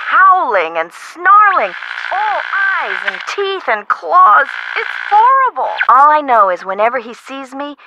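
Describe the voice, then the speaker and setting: A chatty, emotional lady homeowner in a video game, swoopy voice, slightly dull dry recording. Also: high voice. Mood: panicked, then indignant, determined. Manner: emotive, expressive, colloquial. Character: frightened, dramatic woman homeowner, chatty and friendly. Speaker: voice actress in an adventure video game. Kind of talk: game character dialogue acting.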